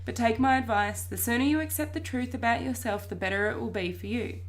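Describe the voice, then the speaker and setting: A woman's voice: a little high, fairly quick, lightly acted. A woman voicing a world-weary talking vacuum cleaner in a storybook, resigned, knowing and matter-of-fact.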